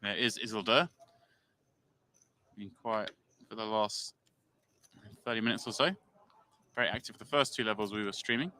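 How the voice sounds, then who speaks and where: A man calls the action at a live poker table, naming each move as it happens. His voice is bright and forward with a crisp edge. He sometimes acts out how the players react. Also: on the low side, fairly even intonation, normal speed, pauses often, slight background noise. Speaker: poker play-by-play commentator. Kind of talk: live play-by-play commentary.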